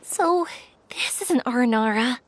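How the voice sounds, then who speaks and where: A woman voicing a kindhearted, earnest young woman in an anime-style video game, youthful and expressive. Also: a little high, normal speed, no room sound. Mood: apologetic, earnest. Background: faint music.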